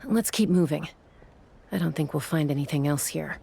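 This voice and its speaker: Low-voiced, composed adventurer. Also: female voice, moderate pace, creaky, warm tone, a little hiss or hum. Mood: focused, serious. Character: focused, level-headed young woman adventurer leading a search. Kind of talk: video game voice acting.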